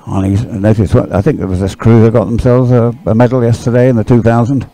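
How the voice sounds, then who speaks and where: A man's voice: medium pitch, level tone, brisk, dark tone. A man doing live sports commentary in a chatty, hesitant, rambling way.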